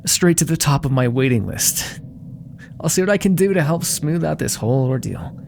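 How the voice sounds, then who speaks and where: A man's voice: on the high side, lively intonation, normal speed, vocal fry, a little mellow, a little hiss or hum. A man voicing a vain, menacing demon crime lord in an ASMR audio roleplay, silky, theatrical and condescending, with cool threats under mock affection. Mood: coaxing, menacing.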